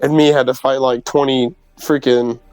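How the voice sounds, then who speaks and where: Male game player, smooth clear voice, dry sound, casual halting improvisation. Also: medium pitch, flat delivery, darkish tone, no echo, soft background music. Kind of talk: casual in-character improvised play.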